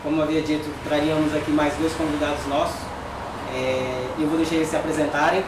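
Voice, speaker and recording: A man's voice: fairly high pitch, flat delivery, fairly quick. A man with a Brazilian Portuguese accent hosting a casual podcast, chatty and upbeat. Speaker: host, barber. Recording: echoey room, distant mic, noisy.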